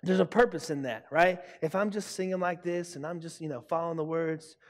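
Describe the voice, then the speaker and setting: A young man preaching a sermon to students, emphatic and loud, repeating his key lines. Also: a little high, steady tone, a little fast. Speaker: youth pastor. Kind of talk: youth sermon. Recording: long hall reverb.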